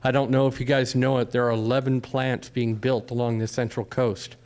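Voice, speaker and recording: A man's voice: medium pitch, little pitch movement, moderate pace, darkish tone, smooth. Man in his fifties, from California, rambling earnest commenter at a town forum. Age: 50s. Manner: earnest, rambling, asks the room questions. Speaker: audience member.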